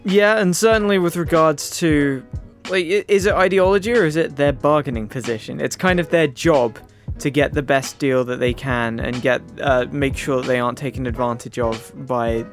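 Dude co-hosting, deadpan one-liners, quiet music behind. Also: male voice, fairly high pitch, moderate pace, hardly any pauses. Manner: dry, deadpan quips. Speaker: podcast co-host. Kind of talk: casual political chat.

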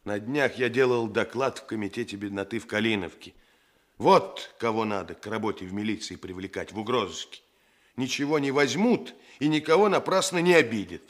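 A male actor playing a gruff, principled senior policeman in a radio play, plainspoken, homely and paternal. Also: fairly low pitch, brisk, natural voice. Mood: persuasive.

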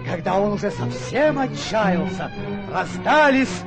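An actor narrating a classic comic tale in a radio play, with precise, wry, theatrical storytelling. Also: male voice, high-pitched, lively intonation, unhurried, music in the background.

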